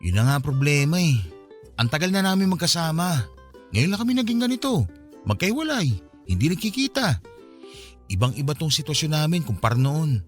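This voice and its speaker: A frustrated young man in a radio drama, quick and emphatic. His pitch swings widely as he complains, over soft music. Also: very animated. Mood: regretful. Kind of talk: Filipino radio drama acting.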